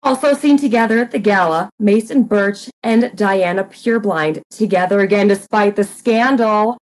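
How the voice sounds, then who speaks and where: A woman's voice: mid-range voice, normal speed, close to an everyday voice, rough recording. A woman playing a gossipy, self-important guest co-host on a radio show in an audio comedy, earnest, insistent and a little pompous.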